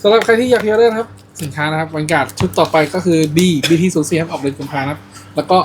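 Excitable male card-game commentator. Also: fairly high pitch. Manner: lively exclamations, quick to laugh. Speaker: card shop owner commentating a match. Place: comic and card shop. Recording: noisy shop background.

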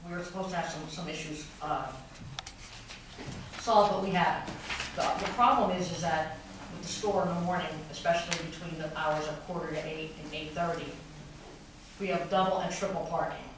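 A woman making a public complaint at a city council meeting, with many restarts, growing more heated. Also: low voice. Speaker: resident speaking at public comment. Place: large wood-paneled council chamber. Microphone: podium mic recorded by a far camera. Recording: echoey chamber from far off, noisy.

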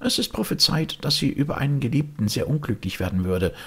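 A man voicing a nursemaid in a fairy tale, explaining things in a high-pitched voice. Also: animated, a little fast, gravelly, breathy edge. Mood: informative. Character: Turkish nursemaid, a woman, matter-of-fact informant.

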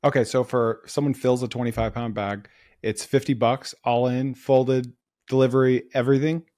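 A man hosting a business podcast, asking quick probing questions and thinking aloud about the idea. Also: medium pitch, little pitch movement, darkish tone. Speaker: podcast host. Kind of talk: casual interview chat.

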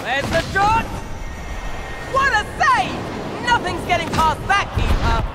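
A man voicing an excitable teenage boy who commentates on a fantasy broom sport in a video game. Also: very high voice, slow pace, over a noisy crowd, heavy background noise, exaggerated voice. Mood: excited. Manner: excited, exclamatory, cheering on the play. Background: loud game music.

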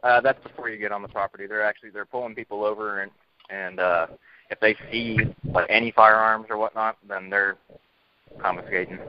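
Man, low pitch, casual phone talk, dull line with faint noise. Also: steady tone, fairly quick. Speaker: participant relaying reports on a group call. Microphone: telephone. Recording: muffled noisy phone line.

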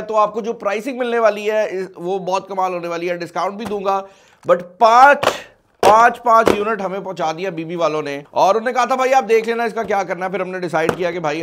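Male tech video host, 20s, high voice, Pakistani accent, emphatic and chatty, slips English into a casual review. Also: background noise. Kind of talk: casual product review mixing in English phrases. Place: small indoor studio at a desk.

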